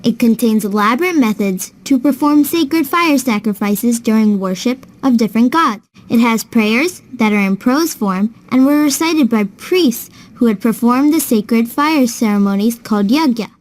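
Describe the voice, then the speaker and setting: A woman's voice: a little high, normal speed, few pauses, close to an everyday voice, rough recording. A woman playing a patient, kindly older sister who explains things to a child in a scripted children's educational cartoon.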